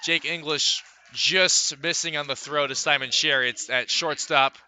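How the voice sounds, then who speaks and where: A man calling live baseball play-by-play for radio from a ballpark, in measured phrases with pauses between plays. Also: a little high, fairly even intonation, sharp tone. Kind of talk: live radio sports commentary.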